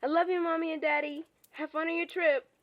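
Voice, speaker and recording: High, sweet teenage girl on a muffled phone played by a woman. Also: level tone, fairly quick, no echo. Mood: affectionate. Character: sweet, pleading fifteen-year-old daughter. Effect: speakerphone filter. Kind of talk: naturalistic audio drama acting. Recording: dull, muffled phone call.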